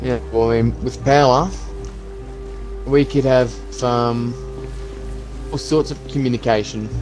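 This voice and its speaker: A man playing a practical, hopeful zombie apocalypse survivor in an improvised game roleplay, talking in a halting, conversational way. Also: medium pitch, fairly even intonation, darkish tone, faint music behind, natural voice, low-quality recording. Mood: practical, planning.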